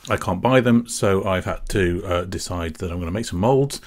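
A middle-aged man with a fairly low voice hosts a casual repair walkthrough. He explains each step methodically while working at a bench indoors. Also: moderate pace. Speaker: repair video host.

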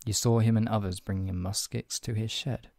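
Casting: male actor as menacing redcoat interrogator, lowish voice, clipped and officious, ASMR roleplay, dry close sound. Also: steady tone, brisk, a little mellow, dry recording, natural voice. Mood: measured, persuasive. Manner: clipped, authoritative, formally polite with condescending threat. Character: stern, menacing British redcoat soldier interrogating a colonist. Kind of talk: ASMR roleplay, one-sided scripted dialogue.

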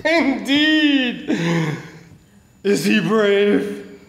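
A man's voice: high voice, lively intonation, drawn-out words, vocal fry, a bit bright, exaggerated voice. A stage actor in his forties playing a proud, dutiful officer with clipped, old-fashioned genteel phrasing. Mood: flirtatious, challenging. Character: gallant, dutiful male officer and royal messenger, charmed but proud. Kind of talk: live theatrical stage acting. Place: theater stage, indoors. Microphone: distant camera. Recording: echoey theater stage, faint hiss.

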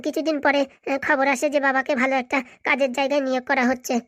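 A woman's voice: high-pitched, level tone, racing, dry recording, clean studio sound, lightly acted. A woman voicing a talkative, persistent male visitor in a comic cartoon, placating and long-winded. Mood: sorrowful storytelling.

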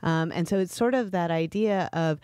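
A woman's voice: fairly low pitch, normal speed, dark tone, clean tone, no echo, studio quality. A woman co-hosting a podcast, explaining her ideas in casual conversation. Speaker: podcast co-host.

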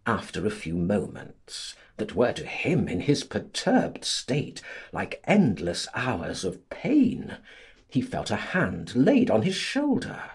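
A man narrating a literary audiobook in a theatrical, deliberate, scripted style, with a husky voice. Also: on the low side, huge pitch swings.

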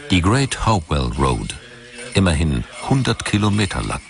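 A man reading scripted documentary narration in a measured, factual manner. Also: low voice, very animated, vocal fry, a crowd in the background. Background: background music.

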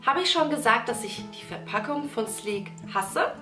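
A woman's voice: a little high, animated, moderate pace. Chatty young woman with a stuffy nose. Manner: chatty, gushing, addresses viewers as girls. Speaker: beauty vlogger. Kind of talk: casual product-recommendation vlog. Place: small indoor room. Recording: echoey room with music behind.

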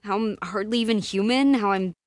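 An actress voicing a guarded, sarcastic, distressed young American woman with powers in a video game, speaking in a breathy, emotionally expressive way. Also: medium pitch, brisk, lightly acted. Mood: bitter, sarcastic. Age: young adult.

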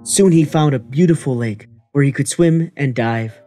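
A man's voice: mid-range voice, normal speed, mellow. A man reading scripted narration for a children's fairy tale. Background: music.

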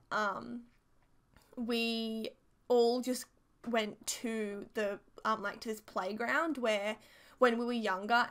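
A woman's voice: on the high side, gravelly, fairly bright tone. A young Australian woman with a sore throat from a cold, chatting in a casual, rambling way full of fillers as she tells a story over gameplay. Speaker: YouTuber narrating over a game build.

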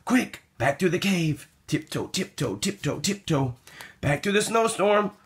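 A man reads a picture book aloud for children. His voice is slightly high and very lively in pitch. He takes his time, stretching words out and repeating phrases in a sing-song, expressive way. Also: measured pace. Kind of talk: animated children's read-aloud.

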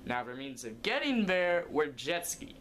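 A man's voice: on the high side, very animated, unhurried, fairly bright tone, a little hiss or hum. A young man improvising a comic story as its narrator, recorded indoors in a living room. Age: young adult.

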